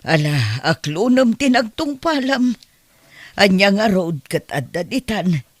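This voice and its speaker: A woman's voice: medium pitch, sing-song, takes it slowly, no echo. Exasperated Ilocano mother played by a woman, dry sound. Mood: scolding. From Philippines. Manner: exclamatory, chiding. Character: middle-aged mother, exasperated, scolding, blunt. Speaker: voice actor in comedy drama. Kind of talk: Ilocano audio drama acting.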